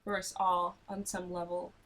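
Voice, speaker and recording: A woman's voice: fairly low pitch, flat delivery, moderate pace, fairly bright tone, poor audio. A woman from the United States sharing reflective personal thoughts on a group video call. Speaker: group participant.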